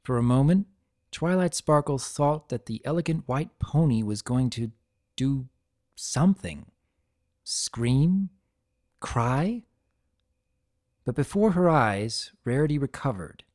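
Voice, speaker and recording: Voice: mid-range voice, expressive, slow, long pauses, a little mellow. A narrator giving a dramatic scripted reading of a story.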